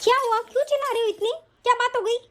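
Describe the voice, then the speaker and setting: A woman's voice: very high voice, racing, a bit bright. A woman playing a wronged but defiant young wife, pleading indignantly in a family melodrama. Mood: shocked, hurt. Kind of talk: domestic family melodrama acting.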